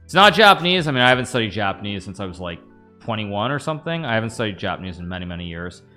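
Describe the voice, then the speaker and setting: A man's voice: fairly low pitch, big pitch swings, rapid-fire, sharp tone, soft background music. An American man in his thirties, streaming a chess game, thinking aloud and answering chat questions in a casual, rambling way. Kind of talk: casual live-stream commentary.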